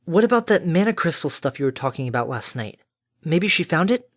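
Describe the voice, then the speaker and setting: A brash female pony adventurer, performed by a woman reading a story. She talks in a blunt, folksy way with an exasperated edge. The pitch is raised and punchy. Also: sing-song, brisk. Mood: skeptical. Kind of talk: animated audiobook character acting.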